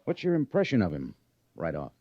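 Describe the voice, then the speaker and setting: An actor playing a thoughtful, shrewd senior army major in an old radio western, deliberate and gently wry. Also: male voice, low-pitched, huge pitch swings, no room sound, heavily acted. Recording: muffled vintage radio recording.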